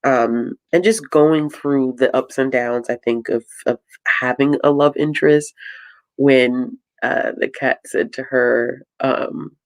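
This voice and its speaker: A woman co-hosting a casual book chat, telling things in an animated, emphatic way. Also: rumbling low, slow, no echo, rough recording. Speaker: livestream co-host.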